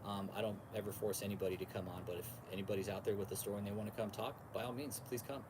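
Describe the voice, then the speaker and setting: An American man with a fairly low voice hosts a casual livestream. He talks straight to his viewers in loose, slangy bro-talk, repeating himself and swearing freely. There is clear background noise under his voice. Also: fairly even intonation, racing. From California, United States. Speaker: podcast and livestream host.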